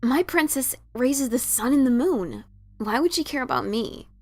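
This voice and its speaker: Brash heroine pony for fantasy audio story , acted by a woman: skeptical, informal, very animated. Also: high-pitched, moderate pace, exaggerated voice. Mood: incredulous, defensive. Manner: blunt, incredulous, informal. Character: brash, skeptical adventurer mare, adult female pony. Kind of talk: fan fiction dramatic reading.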